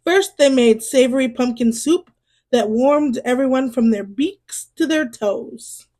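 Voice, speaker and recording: A woman reading a children's storybook aloud in an expressive way, as a storyteller speaking to young listeners. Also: sits high, unhurried. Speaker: storyteller and read-aloud host.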